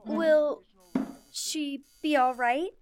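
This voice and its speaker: A woman's voice: high-pitched, drawn-out words, darkish tone, slight background noise. A woman playing a timid, polite and hesitant young telephone operator in an old-time radio drama. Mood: anxious, obedient.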